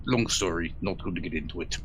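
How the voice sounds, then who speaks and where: A man's voice: sits low, fast talker, a bit bright, a little hiss or hum, low-quality recording. A man playing a tabletop role-playing game, talking in a dry, playful way and speaking for his character. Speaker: player. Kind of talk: casual tabletop role-play.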